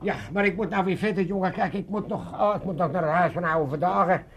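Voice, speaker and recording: An older father who fancies himself a party chairman. His voice sits high for a man, and he blusters along, muddled and repeating himself, in the manner of a comic radio play. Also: fairly even intonation, fast, fairly bright tone. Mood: scheming, self-assured. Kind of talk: comic radio drama acting.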